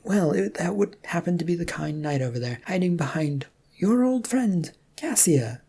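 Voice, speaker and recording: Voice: medium pitch, lively intonation, normal speed, mellow, husky, pitched above the performer's own voice. A storybook narrator voicing a polite, slightly prim woman guide, courteous with a tart edge. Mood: explaining politely.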